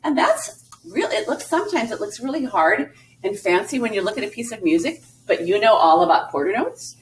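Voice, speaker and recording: A woman's voice: mid-range voice, animated, brisk, slight background noise, poor audio. A woman music teacher giving a recorded lesson to young children, explaining patiently step by step. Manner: sings short phrases to show melody.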